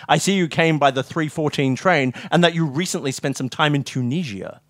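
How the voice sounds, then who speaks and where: Storybook mouse sleuth, male performer: brisk, exasperated, matter-of-fact, with a fairly high, bright voice. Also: no room sound, close to an everyday voice. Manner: matter-of-fact, clipped, commanding.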